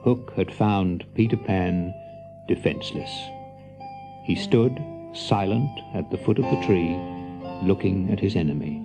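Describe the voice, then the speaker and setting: A man narrating a scripted children's story dramatically, as an expressive, confiding storyteller. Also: low-pitched, unhurried, gravelly, over music.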